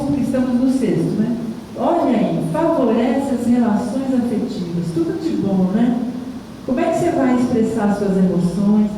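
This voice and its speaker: A woman's voice: a little high, dark tone. A Brazilian woman giving an informal educational talk, explaining conversationally with rhetorical questions and frequent checks with listeners. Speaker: lecturer. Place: large echoey indoor room. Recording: very echoey hall, distant mic, faint noise.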